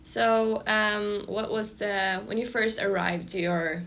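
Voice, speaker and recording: A young woman hosting a relaxed student podcast, asking questions in a casual conversational way. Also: medium pitch, slow pace, vocal fry. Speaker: podcast host. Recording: faint background noise, room ambience.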